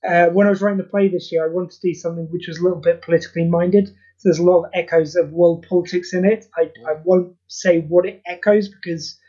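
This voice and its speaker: A man talking as a guest on a podcast about the charity audio play he wrote, candid, self-deprecating and quick to swear. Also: high voice, steady tone, mellow, muffled recording. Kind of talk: casual podcast interview.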